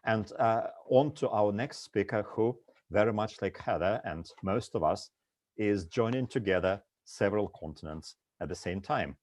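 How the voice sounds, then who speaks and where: Low-voiced male panel moderator. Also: moderate pace, a little mellow, no room sound. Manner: reads lists with frequent "uh" fillers.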